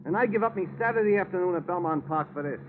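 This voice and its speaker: Man playing a high-pitched, streetwise young New gambler. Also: fast talker, close to an everyday voice. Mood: mock-grand. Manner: flowery, mock-formal speech with streetwise slang. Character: wisecracking young male racetrack gambler sidekick, mock-genteel. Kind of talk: 1940s radio acting. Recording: noisy, tinny 1940s radio recording.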